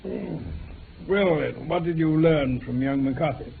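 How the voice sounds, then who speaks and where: Higher-pitched, genial British doctor sidekick. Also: male voice, measured pace, creaky. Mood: astonished, curious. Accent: British English. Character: genial, bemused gentleman doctor, loyal sidekick. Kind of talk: old-time radio drama acting. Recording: tinny, band-limited old radio, faint noise.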